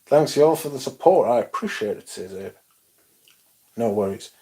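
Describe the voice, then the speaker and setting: A young man hosting a casual livestream, reading chat comments aloud and chatting in a loose, relaxed way with casual swearing. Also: mid-range voice, normal speed. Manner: laid-back, swears casually, mumbles asides, reads chat aloud. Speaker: livestream host.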